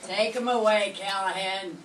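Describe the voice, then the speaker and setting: An older woman playing a gruff, sarcastic male police sergeant in an amateur radio drama reading. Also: mid-range voice, fairly even intonation, a bit bright, natural voice. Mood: brusque. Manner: brisk orders, dry sarcasm. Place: classroom. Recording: echoey room, far from mic, noise.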